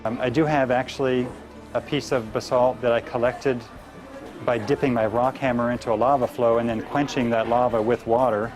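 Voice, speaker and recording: A man's voice: mid-range voice, steady tone, normal speed, darkish tone. A man who teaches geology, explaining his subject in an interview. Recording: music behind the voice.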